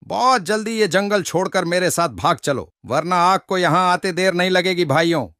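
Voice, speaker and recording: A man plays a foolish donkey from an animated fable, pitched very high. He is full of himself and easily worked up, and the sound is dry and close. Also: normal speed, no echo. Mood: panicked. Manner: boastful, excitable. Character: foolish, self-important, panicky male donkey. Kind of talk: Hindi animated moral story acting.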